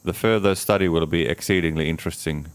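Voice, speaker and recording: A man giving a measured, deadpan first-person reading of a comic diary, speaking as its writer. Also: low-pitched, no echo. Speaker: audiobook reader. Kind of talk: dramatic reading of a diary as Adam.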